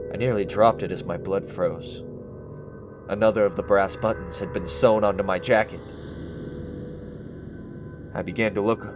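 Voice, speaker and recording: A man's voice: lowish voice, lightly acted. Man playing a paranoid, frantic radio-thriller schemer. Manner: dramatic, nervous, suspense-laden delivery. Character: paranoid guilty male schemer confessing his crime, increasingly frantic. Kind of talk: 1940s-style radio thriller monologue.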